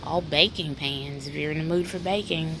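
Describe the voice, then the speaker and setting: A woman with a low, unhurried voice walks a big store with her phone camera, reading out deals and prices in a chatty, practical tone. The phone mic picks up the steady hum of the store around her. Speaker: shopping vlogger. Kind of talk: walk-through store haul narration. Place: large indoor retail store. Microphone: handheld phone camera. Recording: store ambience noise behind. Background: store ambience.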